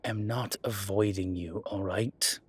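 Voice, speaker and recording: A man playing an exasperated, evasive demon professor in an audio roleplay, speaking haltingly and defensively with muttered asides. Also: lowish voice, steady tone, breathy edge. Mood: flustered, evasive. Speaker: voice actor. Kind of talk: audio roleplay acting, one-sided dialogue.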